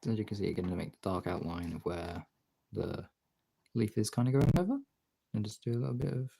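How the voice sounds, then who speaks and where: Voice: sits low, fairly quick, vocal fry, mellow, breathy edge. Someone giving a casual hands-on art tutorial, rambling and thinking aloud while working.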